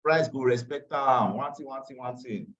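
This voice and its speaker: A man's voice: mid-range voice, normal speed, fairly bright tone, a little hiss or hum. A tired man teaching a live online trading class, repeating phrases and slipping into Pidgin asides. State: tired after a long day. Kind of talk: live online class walking through charts. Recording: distant, dull lo-fi call audio.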